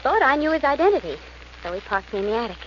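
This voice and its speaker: A woman's voice: sits high, very animated, fast. An actress playing a detective's plucky, playful girlfriend in 1940s radio drama style, with a coy, breezy manner. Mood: earnest. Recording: muffled, noisy old radio recording.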